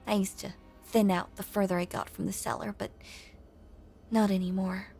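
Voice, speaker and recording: A woman playing a lonely, possessive female ghost in an intimate audio drama monologue, hushed and coaxing, with genteel old-fashioned phrasing and a breathy voice that often sinks toward a whisper. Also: mid-range voice, flat delivery, normal speed, soft background music, close to an everyday voice. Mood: proud, eerie devotion.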